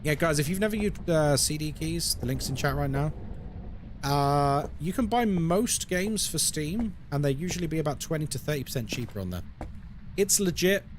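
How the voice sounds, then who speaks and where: Game streamer, man, London accent, bright lively pitch that swings a lot, chatty, answering chat while explaining mechanics. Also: normal speed, a little hiss or hum. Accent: London English. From London, United Kingdom. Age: adult, over 20. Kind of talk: casual live-stream chat.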